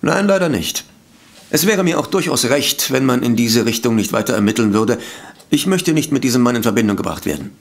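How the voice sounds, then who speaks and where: A man's voice: mid-range voice, animated, a little fast, creaky. An actor playing a polite, defensive male sanatorium director in a detective radio play, speaking formally and hesitantly. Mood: evasive. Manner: formal, hesitant, self-justifying. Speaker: voice actor in audio drama.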